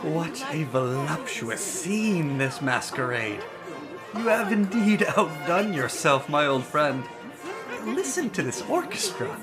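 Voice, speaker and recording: An actor playing a haughty, exuberant, self-deluded prince in a theatrical, declamatory audio drama style. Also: male voice, on the high side, big pitch swings, slow, music in the background. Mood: elated. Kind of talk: full-cast audio drama acting.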